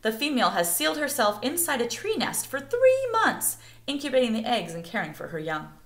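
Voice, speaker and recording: A woman reads a nature picture book aloud as expressive narration meant for children. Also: fairly high pitch, a little fast. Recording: echoey room.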